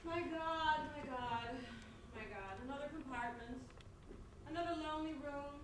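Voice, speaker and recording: A woman's voice: high-pitched, moderate pace, close to an everyday voice. A woman playing a theatrical, imperious, self-pitying film star in stage comedy style, dramatically repeating her words. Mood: weary self-pity. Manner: melodramatic, repeats words for effect. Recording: very echoey stage, distant mic, noisy.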